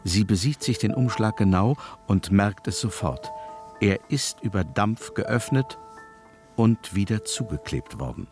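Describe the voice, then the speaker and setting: A man narrating a suspense radio drama as a measured storyteller, in a scripted style. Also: on the low side, expressive, music in the background. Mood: measured, suspenseful.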